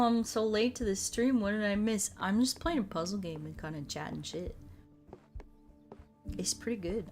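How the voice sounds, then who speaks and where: An American woman chatting casually on a livestream while she plays a puzzle game, in a playful, slangy, rambling way. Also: lowish voice. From United States. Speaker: streamer. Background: music.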